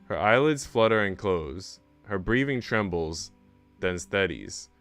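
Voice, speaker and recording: A man's voice: sits low, animated, measured pace, a bit bright, faint music behind. A young man streaming a visual novel read-aloud, narrating with casual, slangy reactions.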